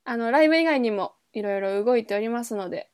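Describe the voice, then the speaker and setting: Woman, slightly high voice, casual podcast chat, colloquial, supportive co-host. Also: animated, fast, a little mellow, clean tone, dry recording, studio quality. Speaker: podcast co-host, performing musician.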